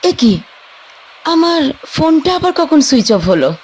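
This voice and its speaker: A woman's voice: high voice, very animated, unhurried, darkish tone, a little hiss or hum. An actress playing an impatient young woman stranded on an island, asking questions. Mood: uneasy.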